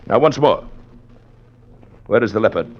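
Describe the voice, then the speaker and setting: An actor playing a pompous, menacing aristocratic big-game hunter in an old-time radio drama, with florid, formal speech. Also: male voice, on the low side, lively intonation, a little slow. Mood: pompous, scheming. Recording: muffled, noisy old radio recording.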